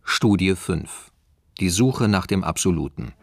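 A man playing a solemn announcer who reads chapter titles in a husky voice for a radio drama. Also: low-pitched, lively intonation, unhurried, no echo, studio quality, faint music behind, exaggerated voice. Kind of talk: slow scripted title announcement.

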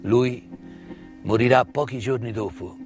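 A man performing a dramatic theatrical monologue, intense and emphatic, with repeated words and pauses. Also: mid-range voice. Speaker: stage actor-narrator. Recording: live stage performance with music. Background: live music.

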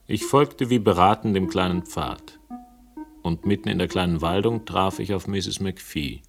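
A man's voice: low-pitched, unhurried, creaky, music in the background. An actor playing a wry, urbane investigator who narrates his case with literate irony in a German radio crime drama. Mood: dry, wry. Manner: ironic, literate, ornate wording.

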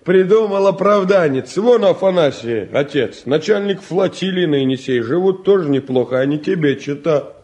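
Mellow, plain-spoken young provincial man, actor's role. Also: fairly high pitch, expressive, moderate pace, natural voice. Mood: blunt, teasing. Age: young adult. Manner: reserved, blunt, earnest. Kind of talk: stage-trained radio play acting.

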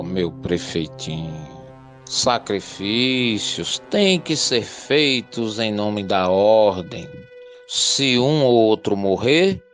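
An actor playing a furious, pompous, all-powerful landowning major in a radio play. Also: male voice, on the low side. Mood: cold, commanding. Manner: pompous, domineering.

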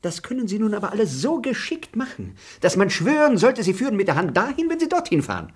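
A sly, fawning bailiff for a radio play. His voice sits fairly high and leaps up and down as he wheedles and giggles. He stays pushy and theatrical throughout. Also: huge pitch swings, fast talker. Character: sly fawning bigoted male estate bailiff. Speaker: estate bailiff. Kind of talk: German radio play acting.

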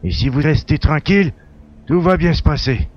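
The recording is dull and lo-fi. A man acts out a self-assured spaceship hunter from a sci-fi audio drama, in a somewhat high voice. He talks in a calm, matter-of-fact way. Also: moderate pace, lightly acted. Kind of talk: science-fiction audio drama acting. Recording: dull, lo-fi, muffled sound.

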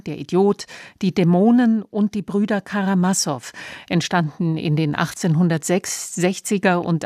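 Low-pitched woman announcer, dry studio sound. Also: warm tone, dry recording. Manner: measured, factual. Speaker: radio announcer. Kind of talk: scripted narration, cultural radio introduction. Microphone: close studio microphone.

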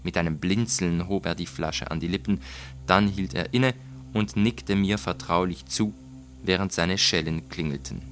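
Male audiobook narrator: low voice, measured and deliberate literary storytelling, music underneath. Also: a bit bright.

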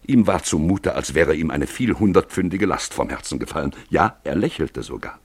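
Male narrator, deep, highly animated pitch, dramatic literary storytelling, radio play. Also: moderate pace, slightly rough, no room sound.

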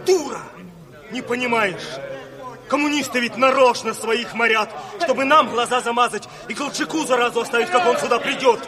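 An actor playing a gruff, hot-tempered, suspicious soldier with blustering, barking outbursts, in a 1970s Soviet radio drama. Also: male voice, extremely high pitch, normal speed. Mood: angry, insistent. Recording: reverberant hall with noisy crowd.

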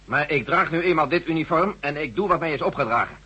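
An actor playing a dutiful, unbending officer in uniform in a radio drama, speaking in a resolute way. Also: male voice, on the high side, expressive, fast, husky, exaggerated voice. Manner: forceful, resolute. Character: dutiful uniformed military man, tense, unbending. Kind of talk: Dutch radio drama acting.

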